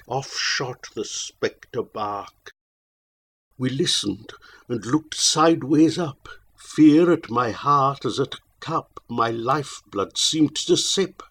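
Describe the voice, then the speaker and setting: A man's voice: mid-range voice, husky. A man reciting narrative poetry in a theatrical, solemn way, drawing out his phrases. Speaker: poetry reader.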